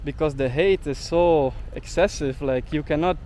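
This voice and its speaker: A young man rants to camera in an indignant vlog monologue, leaning on "like" as filler. His voice sits a little on the high side. Faint traffic hums in the street around him. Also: moderate pace, dark tone. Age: young adult. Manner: indignant, heavy use of "like" fillers. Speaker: travel vlogger.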